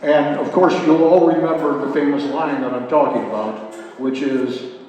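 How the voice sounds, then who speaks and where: A man in his eighties reading and introducing his own poetry at a live event, with deliberate, measured phrasing and pauses. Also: on the high side, steady tone, darkish tone, lo-fi sound. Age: 80s. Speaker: poet and author reading own work. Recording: very echoey hall, distant microphone, faint noise.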